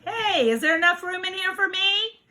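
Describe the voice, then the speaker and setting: A woman's voice: very high-pitched, moderate pace, sharp tone, pitched above the performer's own voice. A woman voicing small, eager storybook animals with a childlike, sing-song, exclaiming delivery. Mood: pleading, exclaiming. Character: small forest animals, eager, chilly, pleading to squeeze in.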